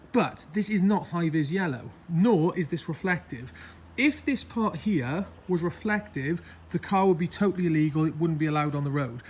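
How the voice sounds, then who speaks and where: Man, hoarse throat, slightly high voice, rambling and chatty, faint outdoor hiss. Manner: chatty, rambling, self-mocking. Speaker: car vlogger. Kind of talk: casual vlog walkthrough.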